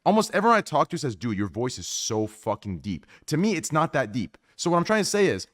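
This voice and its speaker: A man hosting a casual podcast chat, with a deep voice and an emphatic, rambling way of explaining himself. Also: fairly quick. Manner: animated, emphatic, repeats "do you understand". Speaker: podcast host.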